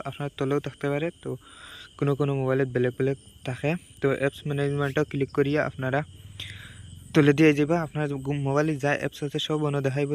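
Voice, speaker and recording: Sylheti guy doing a how-to, talks fast and pretty monotone, repeats each step. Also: mid-range voice. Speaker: tech vlogger giving a phone tutorial. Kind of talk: casual step-by-step tutorial.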